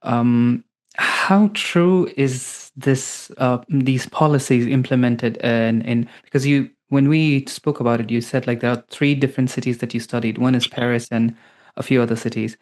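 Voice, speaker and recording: Halting male podcast host. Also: mid-range voice, fairly even intonation, darkish tone. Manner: halting, many fillers. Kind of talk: interview questions.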